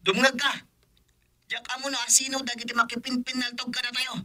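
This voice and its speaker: A man's voice: very high-pitched, monotone, a little fast, background noise. An actor playing Edong, a frightened accomplice in a radio drama who urgently warns his partner to flee. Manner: urgent, insistent warnings. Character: Edong, frightened male accomplice urging escape.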